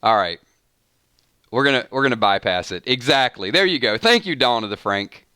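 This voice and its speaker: A man hosting a casual fan live stream, his voice bright and forward with a smooth, clean tone. He keeps cutting himself off to react to the chat, tossing out "hold on" and "wait a minute" as he goes. The sound is close and dry, with no room around it. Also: mid-range voice, normal speed, dry recording. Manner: animated, breaks off mid-sentence with repeated "hold on" and "wait a minute". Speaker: fan channel host.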